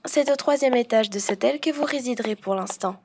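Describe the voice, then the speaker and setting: Woman as a prim, high-voiced head housekeeper. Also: background noise, close to an everyday voice. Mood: formal, dutiful. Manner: prim, formal, measured, precise. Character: strict, formal, dutiful head housekeeper of a manor. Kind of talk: French audio roleplay acting.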